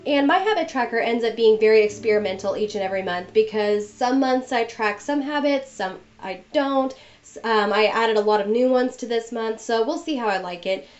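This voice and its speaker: Young woman, chatty narration, getting over a cold, slightly high voice, dull lo-fi sound. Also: normal speed. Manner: chatty, friendly explaining. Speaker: bullet journal vlogger. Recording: dull lo-fi voice-over with music behind.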